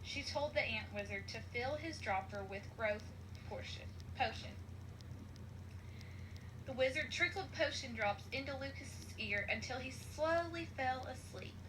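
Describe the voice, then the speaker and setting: A woman with a fairly high voice reads a children's storybook aloud. Her delivery is expressive, scripted narration. Clear noise sits behind the voice. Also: measured pace. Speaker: storybook reader.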